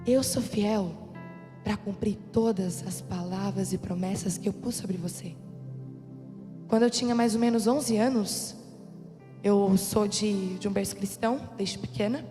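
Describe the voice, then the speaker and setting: A hoarse young woman preaching a sermon to a church youth group, chatty and calling out for amens. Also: mid-range voice, fairly even intonation, unhurried, long reverb tail, music in the background. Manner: conversational, calls for amens. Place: church youth service hall.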